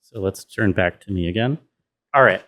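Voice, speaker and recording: A man's voice: sits low, moderate pace, heavy background noise. A man explaining sound design step by step in an informal tutorial style, often dropping in "you know" as he goes. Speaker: sound designer presenting a walkthrough.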